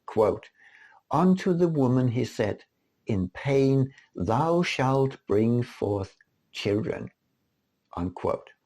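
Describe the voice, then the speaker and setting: A man reading his own book aloud as scripted narration, in a deliberate, solemn manner with a husky, gravelly voice. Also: mid-range voice, lively intonation, darkish tone.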